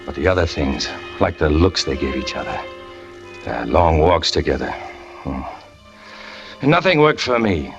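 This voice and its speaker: A man's voice: big pitch swings, unhurried, gravelly, long pauses, full character voice. A brooding, jealous trapeze man. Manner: low, confessional, tense. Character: brooding jealous young male trapeze artist narrating. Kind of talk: 1940s radio acting. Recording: muffled old radio broadcast with music.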